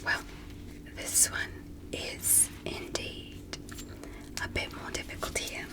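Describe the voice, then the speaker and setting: Woman playing a whispering, gentle alien doctor. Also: close to an everyday voice. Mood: gentle, polite. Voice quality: breathy, unvoiced whisper. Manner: slow, careful, softly reassuring. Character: polite, gentle alien doctor examining a human. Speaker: ASMR roleplay performer. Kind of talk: whispered ASMR medical roleplay.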